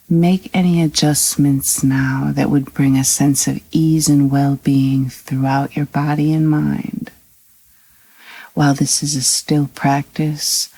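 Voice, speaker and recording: A woman with a low voice guides a scripted meditation. She speaks very slowly and evenly, with little rise or fall. Her manner is calm and soothing, with long pauses between phrases. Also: slightly rough, poor audio.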